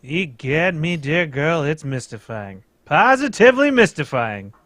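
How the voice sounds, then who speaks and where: Florid, grandiose ham actor played by a man; lively, bit high, dry. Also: a little slow, dry recording. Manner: florid, theatrical, says "me dear". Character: pompous old-fashioned ham actor, theatrical man. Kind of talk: live old-time radio table read.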